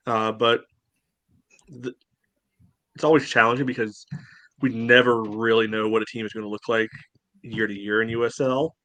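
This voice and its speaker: A man with a lowish voice chats bluntly on a sports podcast, sarcastic about the schedule talk. His voice sits close and dry, with no room sound around it. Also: level tone, normal speed, long pauses, a bit bright, dry recording. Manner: blunt, sarcastic, many "you know" fillers. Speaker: podcast co-host. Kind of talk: casual sports podcast chat.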